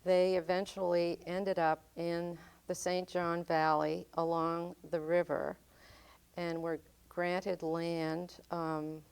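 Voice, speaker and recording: Slow, measured older woman recalling childhood. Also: fairly low pitch, level tone, vocal fry, a little mellow, smooth. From northern Maine, United States. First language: French. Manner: unhurried, reflective, frequent ums. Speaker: interviewee. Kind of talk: oral history interview.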